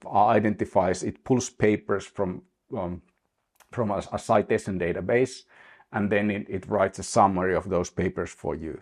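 Male instructor, very low voice, halting delivery, tutorial walkthrough. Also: a little mellow. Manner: hesitant, many restarts and uh fillers. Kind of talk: screen-share tutorial.

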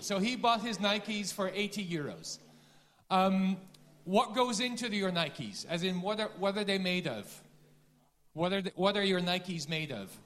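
A man with a Dutch accent, a business school dean giving a lively conference talk and quizzing the audience. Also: high-pitched. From Netherlands. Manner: lively, quizzes the audience, self-deprecating asides.

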